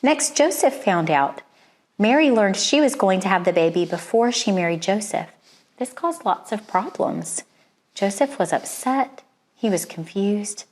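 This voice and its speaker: A woman's voice: mid-range voice, lively intonation, unhurried. Woman reading a children's storybook expressively. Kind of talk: expressive read-aloud children's storybook narration.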